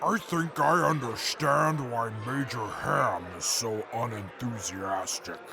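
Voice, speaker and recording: A man playing a formal, deliberate companion in a radio drama, with a gravelly voice. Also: medium pitch, over loud music. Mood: glum.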